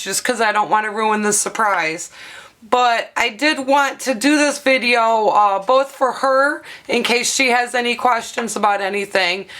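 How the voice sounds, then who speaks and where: Brief: hoarse woman craft vlogger, bright and a bit high, chatty show-and-tell with lots of fillers. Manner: chatty and explanatory, with frequent ums and uhs. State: hoarse, losing her voice.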